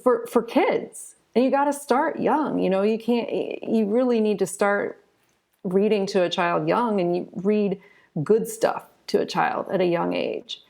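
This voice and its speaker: A woman's voice: medium pitch, unhurried, gravelly, a little mellow. A woman speaking as a guest in a conversational interview, earnest and emphatic, often repeating words for stress.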